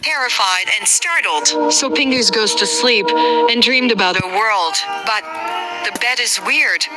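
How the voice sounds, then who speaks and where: A woman's voice: a little high, measured pace, loud music behind the voice, low-quality recording. A woman reading simple scripted narration for a list video.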